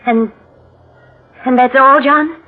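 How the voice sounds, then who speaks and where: A woman playing an anxious, suspicious young wife in 1940s radio suspense, speaking haltingly. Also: high-pitched, steady tone. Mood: uneasy, probing. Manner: hesitant, halting, repeating words. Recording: muffled old radio recording over music.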